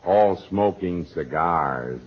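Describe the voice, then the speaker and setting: An actor playing a mild, unhurried small-town club member in a drawling 1940s radio comedy manner. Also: male voice, deep voice, lively intonation, slightly rough, heavily acted. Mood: genial. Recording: muffled 1940s radio recording.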